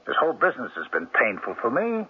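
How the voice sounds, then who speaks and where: An actor playing a guilty, defensive novelist husband in a radio drama, speaking in a strained, halting, apologetic way. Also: male voice, medium pitch, wildly swooping pitch. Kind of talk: 1970s radio drama acting. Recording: tinny, narrow old radio broadcast with faint hiss.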